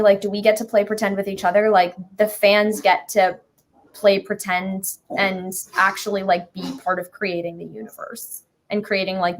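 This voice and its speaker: A young American woman chatting informally and enthusiastically as an interview guest. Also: mid-range voice. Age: young adult. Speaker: interview guest, musical creator. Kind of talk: casual chat.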